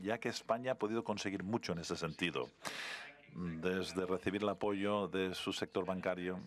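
A man interpreting a formal speech live, halting and correcting himself, with stretched words followed by quicker bursts. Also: low voice, fairly bright tone. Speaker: simultaneous interpreter.

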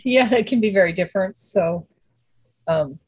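Husky woman chatting on a call. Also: mid-range voice, expressive, moderate pace, a little mellow, no echo. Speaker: panelist.

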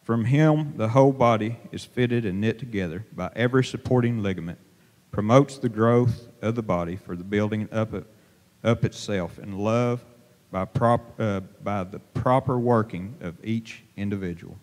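A middle-aged man preaching an informal sermon from a pulpit, plain-spoken and halting, with many fillers and restarts. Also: fairly low pitch, darkish tone. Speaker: lay preacher. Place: church sanctuary, at a pulpit. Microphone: handheld microphone held to mouth. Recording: long reverb of a church hall.

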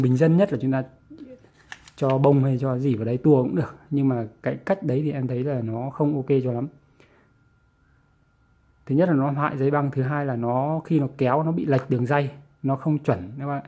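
A man with a northern Vietnamese accent giving a casual hands-on tutorial, halting and repeating words as he goes. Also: mid-range voice, warm tone, breathy edge. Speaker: vlogger presenting a how-to. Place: small indoor room with audio gear.

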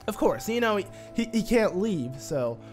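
A man with a slightly high, stuffy nasal voice talks casually while he plays a game. He rambles, gets excited and fills gaps with "like" and "uh". Soft game music plays faintly behind him. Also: a little slow. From United States. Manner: chatty, excitable, rambling with fillers. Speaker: let's play host. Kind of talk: casual gameplay commentary.

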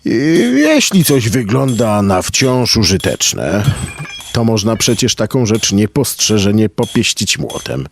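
A man narrating a story in rough, slangy working-class speech. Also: fairly low pitch, big pitch swings, measured pace, slight background noise. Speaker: narrator and solo performer of a radio story. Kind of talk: dialect-heavy storytelling with acted voices.

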